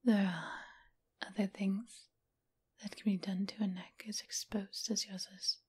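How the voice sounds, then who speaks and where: Slow, whispering vampire mistress, acted by a woman. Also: medium pitch, flat delivery, a little mellow. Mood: playful, seductive. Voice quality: breathy whisper. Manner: slow, teasing, affectionate purr. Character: teasing, doting, seductive female vampire mistress. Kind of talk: whispered ASMR roleplay.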